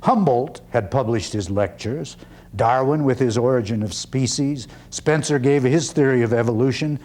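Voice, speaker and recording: A man performing a dramatic one-man stage reading as an earnest, skeptical 19th-century orator, with deliberate, emphatic phrasing and pauses. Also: medium pitch, darkish tone, natural voice. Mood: measured, persuasive.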